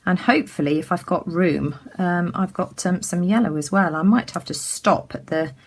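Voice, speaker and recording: A woman from the UK giving a casual craft tutorial as she works, chatty and full of ums, her voice a little croaky. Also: lowish voice, moderate pace, darkish tone. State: slightly croaky. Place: indoors at a craft table.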